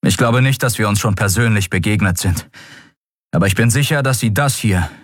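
A man's voice: on the low side, brisk, dry recording, studio quality, pitched above the performer's own voice, natural voice. A man voicing a brave, composed male stranger who confronts someone politely in an audiobook. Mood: calm defiance.

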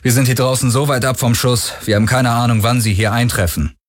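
A man's voice: medium pitch, fairly even intonation, a little fast, pitched above the performer's own voice, lightly acted. A man voicing a rugged, cunning boss in an audiobook, firm and commanding in manner. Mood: desperate, urgent. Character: rugged, cunning male boss, combative and urgent.

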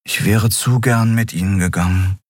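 Man voicing a husky, downcast young boy. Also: fairly low pitch, moderate pace, a little mellow, dry recording, studio quality, pitched above the performer's own voice. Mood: resigned. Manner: resigned, hesitant. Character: dreamy, downcast boy who longs to be a hero. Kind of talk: audiobook character voice.

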